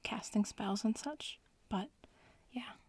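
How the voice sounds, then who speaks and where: A bratty young witch-in-training, played by a woman, whispers and murmurs in an ASMR roleplay. The voice is very breathy and full of fry, drawling slowly and nonchalantly, as if explaining things to a classmate. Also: on the high side, dry recording, full character voice.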